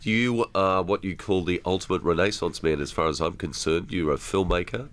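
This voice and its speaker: Deep-voiced jokey radio host man. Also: normal speed, a bit bright. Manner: jokey, effusive. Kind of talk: casual radio interview.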